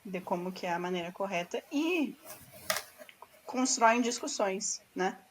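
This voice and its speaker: A woman's voice: medium pitch, unhurried, slight background noise. A Brazilian woman hosting a podcast, steadily moderating the conversation. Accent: Brazilian Portuguese. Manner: steady, moderating, wrapping up points. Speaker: podcast host. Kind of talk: casual chat.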